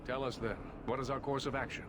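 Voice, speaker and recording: Man playing a dry sarcastic mage leader, mid-pitched voice, game audio with steady background noise. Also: quick pace, natural voice. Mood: sardonic. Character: proud weary male elven mage leader, sardonic.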